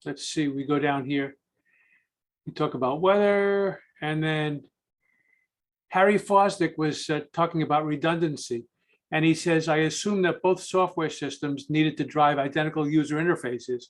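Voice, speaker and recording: A man moderating a question session, relaying questions in a casual, somewhat halting way. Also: on the high side, steady tone. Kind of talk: relaying audience questions informally.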